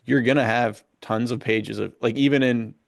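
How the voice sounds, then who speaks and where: A man's voice: medium pitch, fairly even intonation, normal speed, creaky, dry recording. A man chatting casually on a podcast about books, enthusiastic and slangy, peppering his talk with "dude" and "like". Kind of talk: casual podcast book chat.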